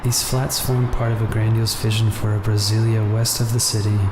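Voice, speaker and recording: Low, even-toned man reading noir narration. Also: moderate pace, noisy background. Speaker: gaming video host. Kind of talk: reading visual novel text aloud, noir narration. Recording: roomy echo under loud music. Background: loud game music with guitar.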